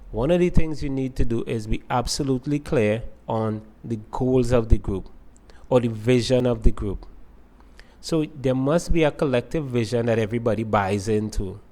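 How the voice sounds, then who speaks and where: A male lecturer with a voice of ordinary middle pitch. He explains concepts methodically and builds lists by repeating the same opening words. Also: warm tone. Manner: explains step by step with repeated phrasing. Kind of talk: recorded lecture to students.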